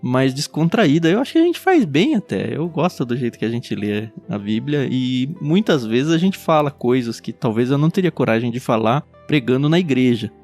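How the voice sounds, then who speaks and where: Brazilian male preacher-host, mid-pitched, candid and teasing, faint guitar under him. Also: very animated, moderate pace, few pauses, darkish tone. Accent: Brazilian Portuguese. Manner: candid, easygoing, light teasing. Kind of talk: casual Bible commentary chat.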